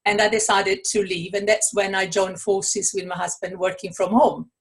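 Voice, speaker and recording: A woman's voice: mid-range voice, level tone, moderate pace, husky. A middle-aged woman from Italy with an Italian accent, answering interview questions as an author and coach, thoughtful, with many fillers and restarts.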